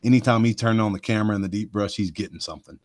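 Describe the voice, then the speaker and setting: A man with a deep voice that carries, hosting a live show and rambling folksily with many asides to his listeners. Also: racing, a little mellow, dry recording. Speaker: host of a cryptid research live show. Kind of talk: casual live podcast chat.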